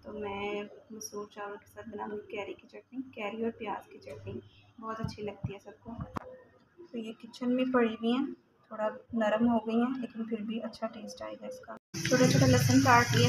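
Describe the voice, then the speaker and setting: A woman talks viewers through her day at home in a relaxed, explanatory way, her pitch sitting a little high but hardly moving. The home recording carries a clear layer of background noise. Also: moderate pace. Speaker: home cooking vlogger. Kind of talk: casual chat narrating daily chores and cooking. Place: home kitchen, indoors.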